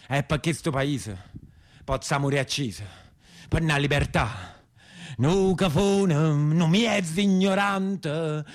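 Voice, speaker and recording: An actor playing an embittered imprisoned peasant brigand in a theatrical monologue, speaking emphatic, repetitive dialect with a southern Italian accent. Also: male voice, a little high, fairly bright tone, dry recording, clean studio sound. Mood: defiant, chanting.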